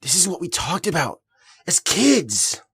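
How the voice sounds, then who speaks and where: An actor playing a troubled young friend from the past, husky and hesitant, in a naturalistic audio drama. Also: mid-range voice, big pitch swings, unhurried, a bit bright, full character voice. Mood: pleading. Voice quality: husky, gravelly. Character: troubled, pleading street-kid friend from the past.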